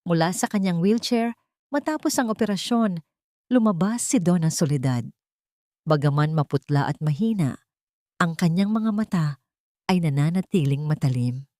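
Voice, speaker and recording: A woman narrating a scripted audio drama in a steady, dramatic storytelling manner. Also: on the low side, normal speed, pauses often, dry recording, clean studio sound.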